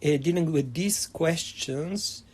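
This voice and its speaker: A man's voice: fairly high pitch, slow pace, darkish tone, husky. A middle-aged man from Argentina, a history professor answering interview questions in a hesitant way, with many fillers and restarts. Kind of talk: academic interview.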